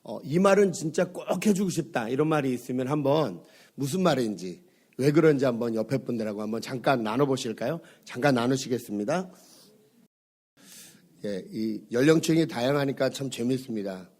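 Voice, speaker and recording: A middle-aged man, hoarse from a cold, preaching a Sunday sermon as a pastor, conversational and full of fillers, asking his congregation questions. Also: medium pitch, normal speed, darkish tone. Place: church sanctuary.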